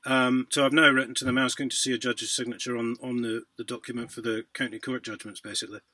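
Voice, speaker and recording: Fast-talking, insistent British man. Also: medium pitch, flat delivery, bright, forceful tone, no echo. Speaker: caller disputing a court judgment. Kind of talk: casual phone conversation.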